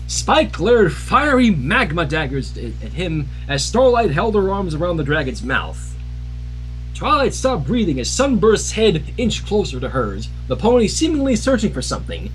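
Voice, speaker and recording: A man's voice: high-pitched, low-quality recording. A man narrating a fan story on a livestream, with casual asides. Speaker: fan fiction reader on livestream.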